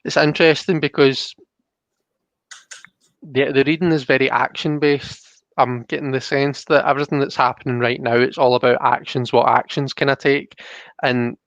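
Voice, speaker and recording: A man hosting a live card reading, talking casually and explaining as he thinks aloud, with halting phrasing. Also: medium pitch, steady tone, fairly bright tone, dry recording, muffled recording. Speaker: host of a live tarot reading.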